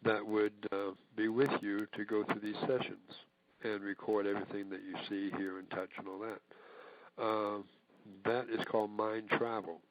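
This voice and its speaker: A man speaking on a recorded conference call, talking haltingly with many fillers and restarts. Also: on the low side, flat delivery, muffled recording, slight background noise. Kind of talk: casual talk on a conference call.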